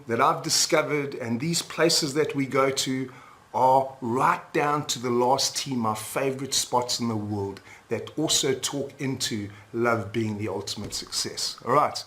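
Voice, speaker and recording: A man's voice: mid-range voice, measured pace, a bit bright. Upbeat South African man presenting. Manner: upbeat, peppers talk with "all right?". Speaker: webinar host and retreat leader. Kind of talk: presenting a sales webinar.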